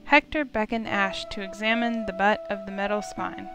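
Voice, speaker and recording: A woman's voice: mid-range voice, steady tone, moderate pace, over music. A woman narrating a visual novel playthrough, reading the story aloud in a storytelling manner.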